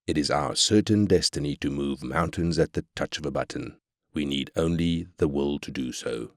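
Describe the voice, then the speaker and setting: An arrogant business magnate with an extremely deep voice, recorded dry and close. He is brash, cynical and commanding, a callous corporate boss from a strategy game. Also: normal speed, dry recording. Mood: grand, confident. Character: ruthless, arrogant South African corporate tycoon. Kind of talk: scripted game character quotes.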